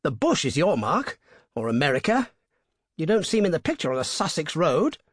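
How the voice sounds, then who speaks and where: A man's voice: fairly high pitch, very animated, normal speed, husky, no echo, studio quality, voice pushed up. A man doing a flustered posh young motorist. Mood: sulky. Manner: blustering, upper-class exclamations. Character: indignant young English gentleman motorist, flustered.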